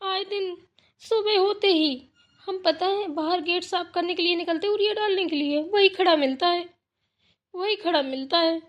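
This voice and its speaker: A woman's voice: extremely high pitch, normal speed, dull audio. A woman voicing a troubled, pleading married daughter in a cartoon family drama, with a plaintive, wordy way of complaining. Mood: worried, pleading.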